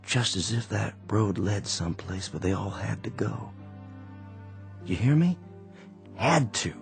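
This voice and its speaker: An actor playing a weary, quiet Confederate sergeant in a radio drama, speaking slowly and earnestly and often repeating words as he searches for them. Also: on the low side. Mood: resolute. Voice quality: gravelly. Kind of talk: old-time radio drama acting. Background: faint underscore music.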